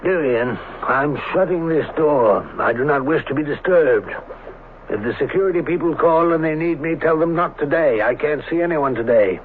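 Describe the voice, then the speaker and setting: A man's voice: a little high, animated, normal speed, lightly acted. A man acting a cold, secretive doctor in an old-fashioned radio drama, curt, impatient and domineering with his wife. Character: cold, irritable, secretive scientist doctor and husband. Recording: tinny, band-limited, noisy radio broadcast recording.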